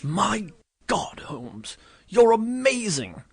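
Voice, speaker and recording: An actor playing an admiring Victorian gentleman doctor in an audio drama, polite, formal and earnest. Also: sits high, big pitch swings, very slow, breathy edge, no room sound, clean studio sound, full character voice. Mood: amazed.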